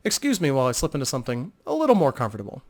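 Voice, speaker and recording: A man's voice: medium pitch, wildly swooping pitch, racing, a little mellow. A man voicing a flirtatious, playful woman adventurer on a secret mission in a dramatic fantasy audiobook reading. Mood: flirtatious, coy.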